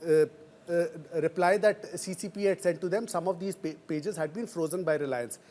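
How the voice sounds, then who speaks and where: A man with an Indian accent explaining a story as a news reporter in a hesitant, halting way. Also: a little high, darkish tone. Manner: hesitant, halting delivery with frequent pauses.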